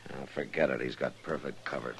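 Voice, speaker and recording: A deep-voiced stern marshal. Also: male voice, brisk, gravelly. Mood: calm. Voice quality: deep, calm and terse. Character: steady, stern male frontier marshal. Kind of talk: 1950s radio drama acting. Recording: hissy 1950s radio recording.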